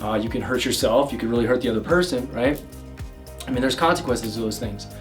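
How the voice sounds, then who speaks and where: A loud, energetic man coaching on love and communication. His voice sits in an ordinary middle pitch, and he piles up fillers and restarts as he talks. He is heard a little away from the mic, with faint music underneath. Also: fast, far from the mic. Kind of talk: direct-to-camera advice talk.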